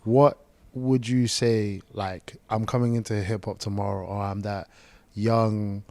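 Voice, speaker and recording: Low-voiced relaxed podcast co-host. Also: male voice, a little slow, dry recording. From Zimbabwe. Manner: relaxed, rambling, many "um" and "like". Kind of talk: casual podcast chat.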